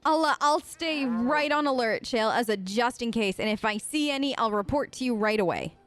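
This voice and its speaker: A woman's voice: on the high side, animated, brisk, a bit bright. A woman improvising casual in-character dialogue for a video game let's play, chatty and rambling with hesitations. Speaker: let's play host role-playing her game character.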